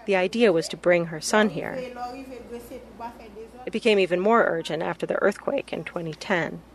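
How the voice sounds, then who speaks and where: A woman reporter narrating a radio news story in a calm, steady storytelling manner. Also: on the low side, moderate pace, crowd noise.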